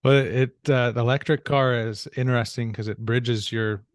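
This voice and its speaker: A man's voice: mid-range voice, steady tone, moderate pace, no echo. Podcast host guy, friendly and upbeat, mid voice, dry close sound. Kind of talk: podcast interview hosting.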